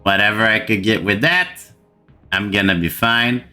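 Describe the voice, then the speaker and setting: A man's voice: fairly low pitch, moderate pace, bright, forceful tone, soft background music. A man from Brazil with a Brazilian accent, hosting a gaming channel and ranting to camera in an exasperated way, repeating words for emphasis. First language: Portuguese. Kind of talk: frustrated gaming rant to camera.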